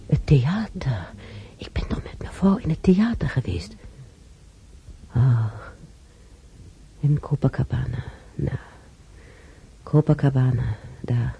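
An actress playing an elderly bedridden widow in a radio drama, musing haltingly and wistfully over her memories. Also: very deep voice, gravelly, mellow, slight background noise, full character voice, low-quality recording. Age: older. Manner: halting, musing, with sighs and whispers.

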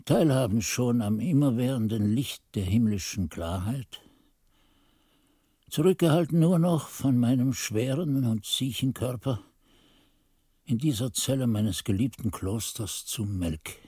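Husky, mellow old monk. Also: male voice, medium pitch, expressive, no echo, clean studio sound. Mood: weary, solemn. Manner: solemn, measured, phrases falling away to hushed endings. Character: aged, frail monk solemnly recounting his youth. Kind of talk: radio drama narration, archaic literary chronicle.

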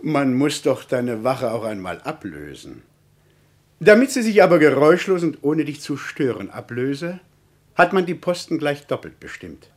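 An actor playing an evasive government official in a German radio drama, bureaucratic and dismissive. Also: male voice, medium pitch, sing-song, normal speed, close to an everyday voice. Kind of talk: German radio drama acting, formal period speech.

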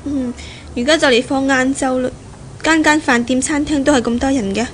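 A woman's voice: high voice, normal speed, close to an everyday voice. A woman with a Hong Kong Cantonese accent playing a sweet, teasing young bride-to-be in a playful, coy manner in a 1970s radio drama. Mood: playful, affectionate. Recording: noisy old radio drama recording.

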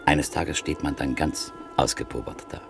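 An actor in a classic radio play playing a middle-aged antique print dealer who tells a story in a measured, reflective way. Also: male voice, a bass voice, steady tone, vocal fry, music in the background. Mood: thoughtful. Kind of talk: classic radio drama acting.

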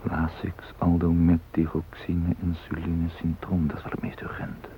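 An actor playing a self-possessed man posing as a doctor among hostages, musing in measured, clipped phrases in a radio drama. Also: low voice, monotone. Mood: preoccupied, businesslike. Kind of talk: radio drama interior monologue. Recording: muffled phone-like old radio recording, hiss.

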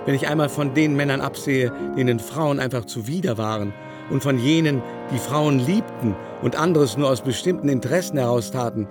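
A man's voice: medium pitch, lightly acted. An actor playing an aging, haughty male aristocrat, indignant and gossipy, declaiming theatrically in a literary radio drama. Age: older. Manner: theatrical, declamatory, long-winded lamenting. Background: musical score.

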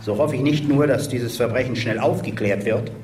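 Actor as solemn politician speaking in an echoing room. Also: male voice, mid-range voice, a little mellow, lightly acted. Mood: solemn, rhetorical. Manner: measured, solemn, rhetorical. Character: grave, populist male politician making a press statement. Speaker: actor in a radio drama. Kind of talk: formal political speech. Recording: roomy, distant mic, music behind.

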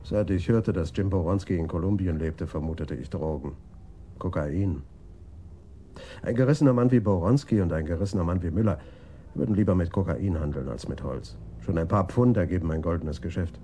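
An actor playing a gruff, world-weary police detective in a German radio crime drama, with a dry, blunt delivery. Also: male voice, deep voice, lively intonation, a little fast, mellow, slight background noise. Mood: sardonic, weary.

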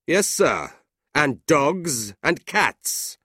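A man's voice: fairly high pitch, very animated, pushed and bright, husky, no echo, pitched above the performer's own voice, exaggerated voice. Guy doing a hard hearted older businessman uncle in an audiobook, sneering, swoopy emphasis, dry close sound. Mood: scornful. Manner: curt, sneering, drawn-out emphatic words.

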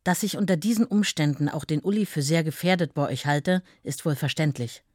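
A stern, worried mother reading out a frank letter in a documentary. Her voice is very low for a woman, with a dry, close sound. Her delivery is firm and deliberate. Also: darkish tone, dry recording.

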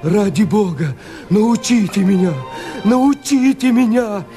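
A man's voice: high-pitched, expressive, takes it slowly, warm tone. An actor playing a desperate rebel pleading for his life, declaiming verse with impassioned theatrical delivery in a radio play. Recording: radio play with music underneath. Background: music.

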